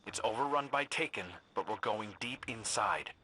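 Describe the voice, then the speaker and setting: An actor playing a wry, guarded lone scout in a video game, with a dry, understated way of talking. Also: male voice, on the low side, normal speed, bright, forceful tone, a little hiss or hum, low-quality recording. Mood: wary, dry. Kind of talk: cinematic video game dialogue.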